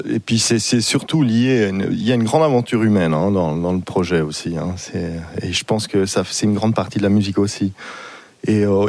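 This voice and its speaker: A man's voice: on the low side, vocal fry, a little mellow, dry recording. A man born in Switzerland, a guest musician, speaking thoughtfully and searching for words with frequent restarts.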